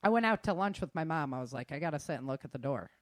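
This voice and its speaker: A woman's voice: deep voice, lively intonation, very fast, no echo. A middle-aged woman talking casually and frankly, giving emphatic, informal advice as an instructor. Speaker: self-defense instructor and guest.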